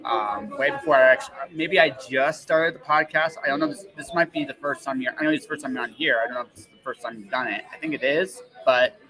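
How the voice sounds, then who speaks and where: A man's voice: mid-range voice, quick pace, hardly any pauses, poor audio. Rambling male podcast host, crowd noise behind. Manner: rambling, self-interrupting, frequent tags like "right?". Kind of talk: casual conversational interview.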